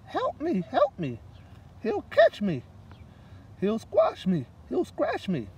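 A terrified little storybook mother mouse, played by a man, pleads in high squeaks. The pitch leaps around frantically, picked up from a distance with faint noise behind. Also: wildly swooping pitch, slow pace, a little mellow, husky, exaggerated voice. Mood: panicked, pleading. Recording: distant microphone, light outdoor noise.

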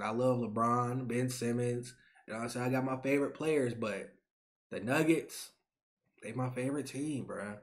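A man in his twenties, a YouTube creator, talking to camera in an animated, slangy rant, repeating himself, from a small bedroom on a webcam mic. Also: medium pitch. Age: 20s. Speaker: YouTube sports reaction creator. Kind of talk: excited casual rant to camera.

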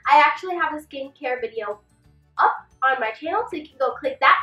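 A woman's voice: high-pitched, animated, a little fast, bright, forceful tone, highs cut off, soft background music. A young woman chatting through beauty tips to camera, a little congested from a cold and with a slight lisp from a retainer. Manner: chatty, gestures a lot while seated. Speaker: beauty vlogger. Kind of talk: casual tips vlog. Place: small bedroom set with clothes rack. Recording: roomy, slightly distant camera mic.

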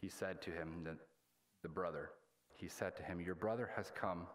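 A man in his thirties preaching a sermon as a pastor, in a halting, plain-spoken, colloquial way. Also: low-pitched, a little hiss or hum. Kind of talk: sermon with scripture reading. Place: indoors at a church pulpit.

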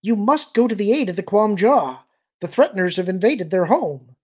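A man's voice: sits high, lively intonation, moderate pace, voice pushed up. A man voicing a young winged alien creature in an audiobook, anxious and earnest, with formal phrasing. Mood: urgent.

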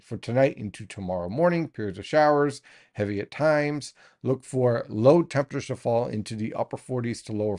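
A man in his thirties presents a weather forecast to the camera from a small home studio. He explains at an even, steady pace and addresses his viewers directly, recorded on a desk mic. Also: mid-range voice.

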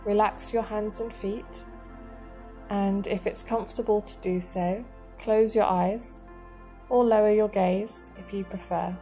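A woman guiding a meditation in a soothing, measured manner, leaving long pauses between short phrases. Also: medium pitch, steady tone, warm tone, soft background music. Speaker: meditation guide. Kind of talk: guided body scan meditation narration.

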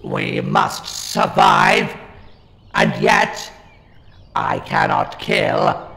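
A man's voice: sits high, extremely slow, creaky, full character voice. A man playing a fanatical, anguished alien war machine in a sci-fi audio drama, with a stilted delivery and a metallic, ring-modulated voice. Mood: despairing. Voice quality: harsh, metallic, electronic. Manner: shouted, stilted, declamatory delivery. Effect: robotic ring-modulated voice filter. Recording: long reverb, music underneath.

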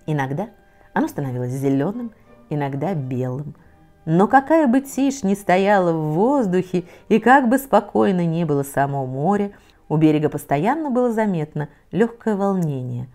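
A middle-aged woman reading a children's fairy tale aloud in a soft, measured way, sometimes sinking almost to a whisper. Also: fairly low pitch, very animated, faint music behind. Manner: soft, measured, often dropping to a near whisper. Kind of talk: gentle children's story reading. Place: cozy living room set with bookshelves, in an armchair.

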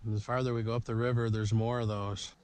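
A man's voice: on the low side, flat delivery, brisk, a little mellow, clean tone. A middle-aged man, chatting and coaching a boy while out fishing in a small river boat, recorded on a boat camera. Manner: easygoing, coaching and encouraging. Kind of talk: casual outdoor vlog chat. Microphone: action camera mounted on the boat. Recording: faint outdoor noise from a boat camera.

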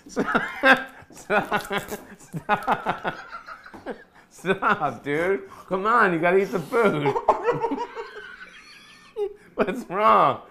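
A man's voice: high voice, creaky, sharp tone, slight background noise. A man in his fifties hosting a casual chat, playful and teasing, laughing often. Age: 50s. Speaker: host. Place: home kitchen, indoors.

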